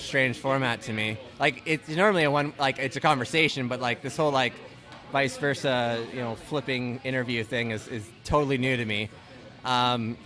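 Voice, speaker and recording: Bright-voiced man hosting casual chat. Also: mid-range voice. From Ontario, Canada. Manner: rambling, many restarts and "like", "right?". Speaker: podcast host. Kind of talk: casual conversational interview.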